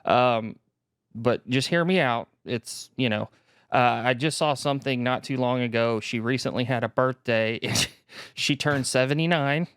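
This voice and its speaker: A man's voice: mid-range voice, unhurried, fairly bright tone, clean tone, dry recording, studio quality. A man co-hosting a podcast in an easygoing, casual, self-deprecating chat. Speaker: podcast co-host.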